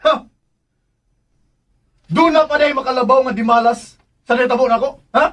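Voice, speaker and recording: Irritable rich man's son, high pitch, curt and indignant, radio drama, off-mic distance. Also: moderate pace, a bit bright. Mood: angry. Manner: curt, indignant challenging questions.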